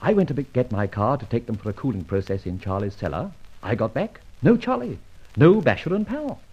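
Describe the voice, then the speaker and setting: An actor playing a cool, wry gentleman adventurer in an old radio serial, speaking in a clipped, brisk and self-assured way. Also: mid-range voice, very animated, husky, lo-fi sound. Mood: brisk, confiding. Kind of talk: 1940s-50s radio adventure serial acting, first-person narration and dialogue.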